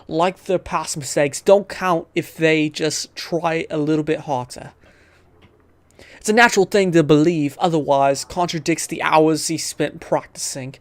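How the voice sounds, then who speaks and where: A man's voice: fairly high pitch, dry recording. A man hosting a gaming video, chatting casually and reading game text aloud, often stumbling over the words as he goes.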